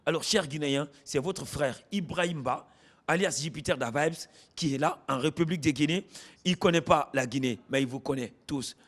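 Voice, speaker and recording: A man's voice: a little high, moderate pace, fairly bright tone. Declamatory Guinean male TV host. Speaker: TV show host. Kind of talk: interviewing a music artist on a TV show. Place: at the artist's home.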